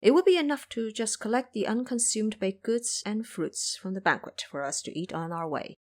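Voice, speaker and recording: A woman voicing a humble, pious male monk master in an audio drama, measured, formal and earnest. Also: medium pitch, lively intonation, natural voice. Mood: solemn.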